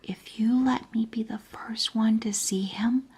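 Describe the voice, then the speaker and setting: A woman's voice: a little high, steady tone, unhurried, a little mellow, husky, full character voice. Woman as a pushy, boastful little schoolgirl. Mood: secretive whisper. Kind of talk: children's storybook character reading.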